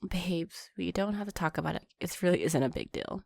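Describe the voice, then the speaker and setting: A woman playing an affectionate, anxious vampire girlfriend in an intimate roleplay audio drama, talking in a soft, chatty way. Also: lowish voice, expressive, normal speed, gravelly, dry recording. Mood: reluctant, downcast. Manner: soft, chatty, sighing and giggling, breaking into whispers.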